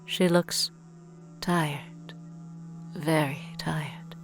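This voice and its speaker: An actress giving solemn, measured scripted narration for a superhero audio drama. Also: female voice, low voice, fairly even intonation, full character voice. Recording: music behind the voice.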